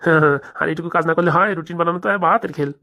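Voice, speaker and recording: A man's voice: on the high side, racing, bright, forceful tone. A man voicing an eager, wily schoolteacher who fawns on his boss in a comedy cartoon. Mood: sly. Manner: obsequious, over-assuring.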